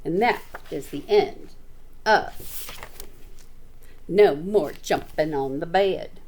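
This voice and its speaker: A woman's voice: fairly low pitch, slow. A middle-aged woman, a teacher, reading a picture book to children with chatty asides. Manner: animated, chatty, explains words to children.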